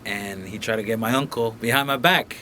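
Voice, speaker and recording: A man's voice: on the low side, fairly quick, pushed and bright, slight background noise. A young man from Colombia talking casually and bluntly as an interview guest in a recording studio, peppering his speech with profanity and filler phrases.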